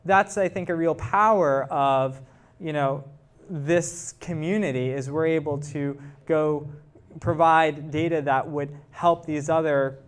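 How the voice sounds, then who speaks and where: A man's voice: a little high, steady tone, slow pace, smooth. A man, a guest researcher, answering audience questions in an informal, explanatory way and thinking aloud. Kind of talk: informal scientific Q&A after a talk.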